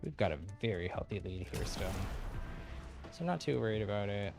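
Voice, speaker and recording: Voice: low-pitched, animated, brisk, creaky. A game streamer giving casual, chatty live gameplay commentary, thinking aloud while playing. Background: game music.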